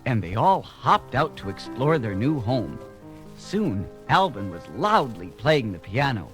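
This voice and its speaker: Animated male storyteller with music behind. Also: medium pitch, measured pace, rough recording. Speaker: narrator. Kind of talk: scripted children's read-along storytelling.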